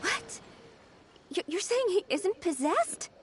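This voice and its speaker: Very high-pitched, anxious young woman. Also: sing-song, takes it slowly, a little hiss or hum, full character voice. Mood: distressed, disbelieving. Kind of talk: video game voice acting.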